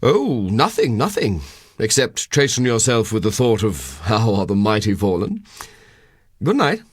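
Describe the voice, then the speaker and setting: An actor voicing an elderly, learned country parson in a literary audiobook, precise, measured and dryly condescending. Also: male voice, fairly low pitch, huge pitch swings, husky, dry recording, clean studio sound, pitched above the performer's own voice, full character voice. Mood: dry, dismissive.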